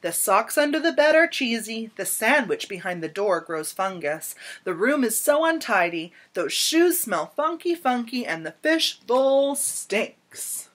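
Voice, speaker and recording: A storybook cockroach fellow, acted out by a woman, sympathetic and given to complaint. The voice is a little high and the delivery is emphatic and dramatic. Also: very animated, a little slow, hardly any pauses. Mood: disgusted. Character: sympathetic male cockroach, complaining about a filthy room.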